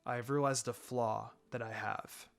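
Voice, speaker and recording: A man's voice: mid-range voice, fairly even intonation, a little slow, creaky, soft background music, voice pushed down. A man voicing a brooding, guarded young ninja student in a fan fiction reading, with a terse, reluctant, clenched delivery. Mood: reluctant, troubled. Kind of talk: fan fiction audiobook character acting.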